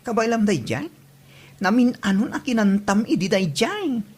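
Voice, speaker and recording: A woman playing a pushy, encouraging best friend in an Ilocano comedy drama, with a husky voice. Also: medium pitch, big pitch swings, moderate pace, a little mellow. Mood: teasing.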